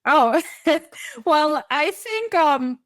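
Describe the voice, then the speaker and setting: A woman from Trinidad and Tobago with a Trinidadian accent, explaining things in a measured way as a podcast guest. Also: high-pitched, animated, a bit bright, no room sound. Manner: explanatory, measured, with light laughs. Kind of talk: podcast interview.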